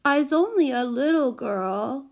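A woman's voice: high voice, expressive, takes it slowly, pitched above the performer's own voice, exaggerated voice. A woman voicing a cute, bold little girl who coaxes with babyish grammar in a storybook. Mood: sweetly pleading. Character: cute wheedling little girl, bold, gap-toothed five-or-six-year-old.

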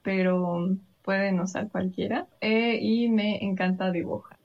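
A woman's voice: medium pitch, fairly even intonation, measured pace. A young woman introduces herself in a plain, friendly way. Her voice sits in a middle range, and the sound is dull and lo-fi. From Quito, Ecuador. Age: 20s.

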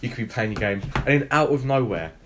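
Teen guy on a podcast with his mates, talks quick, swears a lot, voice jumps around, bit of hiss. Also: medium pitch. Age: teens. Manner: swears freely, animated, laughs often. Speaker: podcast co-host. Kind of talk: casual chat between friends.

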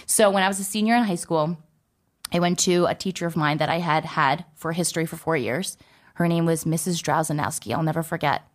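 Chatty young woman, low-pitched. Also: brisk. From United States. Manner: chatty, heavy use of "like" and "you know". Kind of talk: personal storytelling to viewers.

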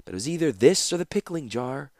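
A man voicing a cruel, breezily sardonic woman torturer in a fantasy audiobook, mocking and calmly menacing. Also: medium pitch, quick pace, creaky, no room sound, clean studio sound, pitched above the performer's own voice. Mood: dry, sardonic.

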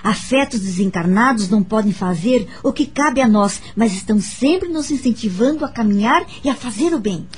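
A woman's voice: a little high, lively intonation, moderate pace, few pauses, breathy edge. An actress playing a kind, wise Brazilian woman who earnestly counsels a friend in a radio drama. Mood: earnest, consoling. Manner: earnest, gently instructive. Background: background music.

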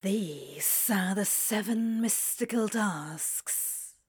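Sly male serpent schemer, female actor, grandly formal with dry delivery, unhurried, for a fantasy audio drama. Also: medium pitch, breathy edge. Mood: grand, pleading. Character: cursed male serpent, sly, theatrical, privately curt schemer. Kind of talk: podfic audio drama acting.

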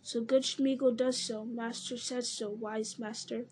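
A scrawny, pitiful male creature whines and begs in a high, pleading voice, his tone soft and mellow underneath. A woman reads him aloud in an audiobook, pushing her voice upward. There is a faint hiss behind. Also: little pitch movement, a little slow, close to an everyday voice. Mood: wheedling. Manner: whining, pleading, speaks of himself by name. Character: wretched wheedling male creature, scrawny, fawning.

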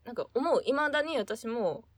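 A woman co-hosting a casual podcast chat among friends, telling stories in an animated way and acting out imagined lines. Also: a little high, moderate pace, dry recording. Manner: animated storyteller, acts out imagined lines and sound effects. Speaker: podcast co-host.

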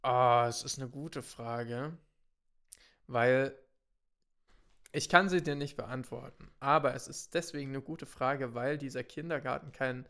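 A young man from Germany, a podcast co-host, telling stories in a relaxed way, laughing often and mixing in English words. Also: medium pitch, unhurried, smooth. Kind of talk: casual chat.

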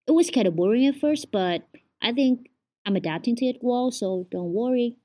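Earnest young Vietnamese woman, higher voice. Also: lively intonation, normal speed, mellow. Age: 20s. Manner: earnest, chatty, sprinkled with "like". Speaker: podcast host. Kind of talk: casual solo podcast chat. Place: home.

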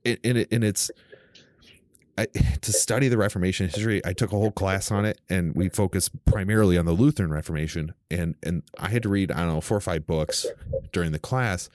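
A man in his thirties with a low voice hosts a casual podcast discussion. The sound is dry, with little room around it. He gropes a little for his words as he goes. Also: a little fast, darkish tone, dry recording. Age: 30s. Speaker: podcast host. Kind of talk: casual theology podcast chat.

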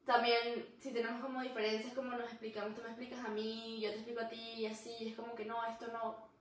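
A woman's voice: fairly high pitch, level tone, fast talker, recorded from a distance, slight background noise. A woman co-hosting a podcast in casual chat, rambling in long runs and laughing easily. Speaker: podcast co-host. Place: room where the podcast is filmed. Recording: very echoey room, mic set back.

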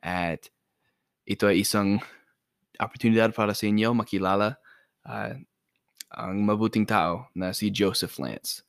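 A young American man chats easily as the host of a casual podcast, his voice sitting on the low side. He keeps things loose, laughs a lot and fills pauses with "um" and "uh". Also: steady tone, clean tone. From United States. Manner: laid-back and chatty, laughs often, many fillers. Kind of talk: casual podcast chat mixing English and Tagalog.

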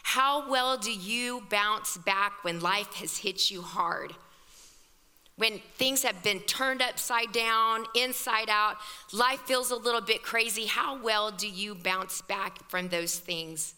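Hoarse woman pastor, fervent conversational sermon, bright tone, reverberant church hall. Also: medium pitch, slow pace. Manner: fervent, conversational preaching with exclamations. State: scratchy, hoarse voice. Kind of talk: sermon at a women's evening service. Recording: long reverb of a large hall.

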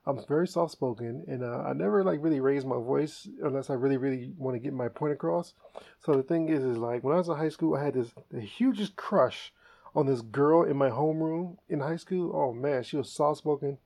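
A man hosting a comedy podcast, telling stories in a casual, animated way and laughing often. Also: medium pitch, brisk, creaky. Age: adult. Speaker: podcast host.

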